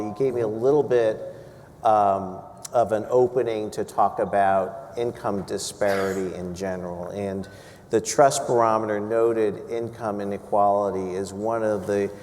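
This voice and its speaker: A man's voice: lowish voice, a little mellow. A man moderating a live panel, addressing the panelists and the audience and posing questions. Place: large event hall. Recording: echoey hall with clear background noise.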